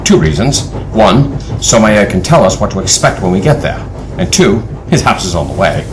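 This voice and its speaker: An actor playing a grave, knowledgeable team leader in an audio adventure drama, with a measured, authoritative way of explaining. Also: male voice, lowish voice, sing-song. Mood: decisive. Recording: faint background noise.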